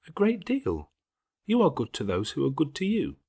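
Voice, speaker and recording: An indignant, impatient young girl from a classic storybook, voiced by a man, with the pitch pushed up and swinging freely. The delivery is urgent, formal and emphatic, with a slightly husky edge. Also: huge pitch swings, normal speed, a little mellow, full character voice. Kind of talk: audiobook character acting.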